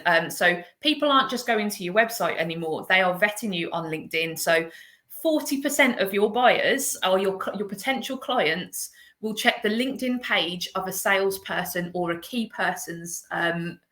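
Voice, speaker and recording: A woman's voice: mid-range voice, expressive, normal speed, bright, forceful tone, roomy sound. A woman with a Birmingham accent hosting a live video interview, chatty, laughing easily and using many fillers. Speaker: host, agency director. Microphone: video call.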